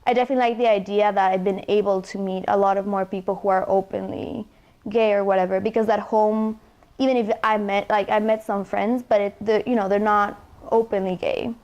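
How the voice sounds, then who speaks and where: A young college woman from Ecuador talks easily and conversationally through interview questions, her voice at an ordinary middle pitch. She fills her answers with "you know" as she thinks aloud. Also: fairly even intonation, fairly quick, darkish tone, highs cut off. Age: young adult.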